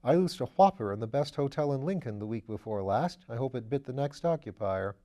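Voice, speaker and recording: A man's voice: medium pitch, lively intonation, fairly quick, mellow, close to an everyday voice. A man doing a level-headed police detective. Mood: matter-of-fact. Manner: plain, matter-of-fact, skeptical. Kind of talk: audiobook character voice.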